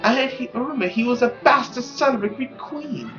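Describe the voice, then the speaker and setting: A woman's voice: a little high, animated, a little fast, muffled recording, music in the background, voice pushed up, natural voice. A woman voicing a haughty, scornful society lady gossiping in an affected, mocking way in a visual novel. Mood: gossipy, scornful.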